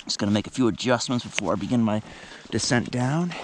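Relaxed man narrating a ski tour outdoors. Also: mid-range voice, quick pace, noisy background. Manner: relaxed, rambling, with many fillers. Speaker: ski vlogger. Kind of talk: casual narration while skiing. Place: outdoors on a snowy mountain trail.

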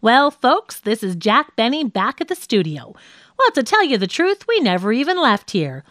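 Woman reading as wry, animated male radio comedian. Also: a little high, normal speed, no room sound, studio quality. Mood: breezy, joking. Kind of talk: reading quoted comedy script in an audiobook.

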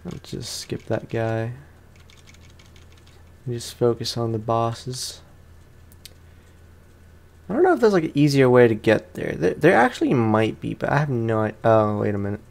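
A man's voice: mid-range voice, big pitch swings, measured pace, vocal fry, long pauses. A young man, sounding tired, gives casual let's play commentary as a gaming host, rambling along in slangy, informal speech.

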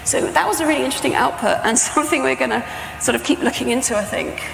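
A woman's voice: high voice, fast talker, vocal fry, faint music behind. A middle-aged woman with a British accent giving an informal, chatty conference talk on stage. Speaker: composer and sound art professor presenting. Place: stage in a large presentation tent or hall. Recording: echoey hall with background noise.

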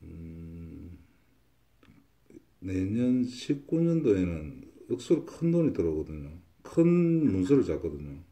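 Low dark-voiced fortune teller guy, says everything twice like it's certain. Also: big pitch swings, measured pace. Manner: confident, repetitive pronouncements. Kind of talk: saju fortune consultation.

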